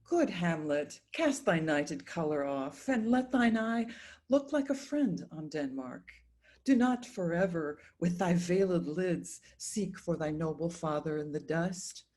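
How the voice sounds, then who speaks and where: A pleading royal mother acted by a woman speaks Shakespearean verse slowly and gently. The voice is husky and mellow, with wide swings of pitch as the middle-aged queen entreats. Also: lively intonation. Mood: gently urging. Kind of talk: dramatic reading of Shakespearean verse. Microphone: video call.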